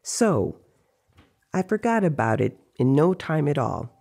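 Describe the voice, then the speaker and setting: A reader plays a teasing male sorcerer in an audio story, recounting strange dreams. The voice is gravelly and dark, and the telling is slow and deliberate. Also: medium pitch, very animated, full character voice. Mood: storytelling.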